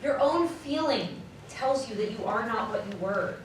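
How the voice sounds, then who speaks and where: A woman's voice: a little high, expressive. A woman playing a sorrowful, earnest young fiancée in formal period dialogue for a live radio drama. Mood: grieving, resolute. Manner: earnest, measured, formal phrasing. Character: sorrowful young fiancée releasing her money-obsessed lover. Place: live community theatre stage. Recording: very echoey live hall, distant, faint noise.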